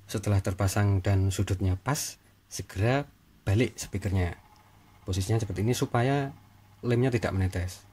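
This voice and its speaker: A man's voice: lowish voice, moderate pace, pauses often, a little mellow, slight background noise. A man giving an informal hands-on tutorial, talking viewers through the work in short step-by-step phrases. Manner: talks in short step-by-step phrases while working, addressing viewers. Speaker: repair tutorial host.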